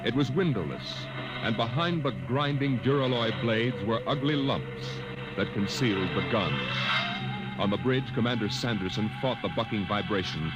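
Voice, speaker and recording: An actor playing a solemn narrator in a dramatic 1950s radio science-fiction style. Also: male voice, medium pitch, lively intonation, normal speed, highs cut off, lightly acted. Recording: old radio recording, loud music behind.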